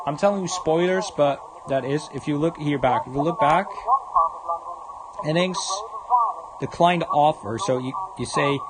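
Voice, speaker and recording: A man livestreaming a visual novel, reading it aloud and commenting, often stumbling over the words. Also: a little high. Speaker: game livestreamer. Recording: loud background noise.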